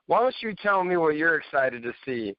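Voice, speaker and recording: A man's voice: on the high side, very animated, a little fast, no room sound. A man hosting a casual panel chat, speaking informally and chummily with his co-hosts. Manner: informal, addresses co-hosts as buddy and bro. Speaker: podcast host. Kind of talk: casual panel chat about games and movies. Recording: slightly dull, lo-fi audio.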